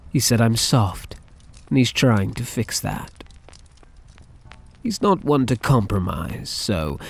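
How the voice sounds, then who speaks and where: A man playing a soft, lovesick young mafia heir in an ASMR roleplay, speaking in a hesitant, tender, halting way. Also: on the low side, gravelly, exaggerated voice.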